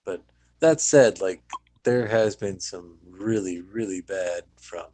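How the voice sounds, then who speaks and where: A man's voice: low voice, slow, warm tone, no echo. A man chatting casually as one of the panelists on a podcast. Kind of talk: casual chat.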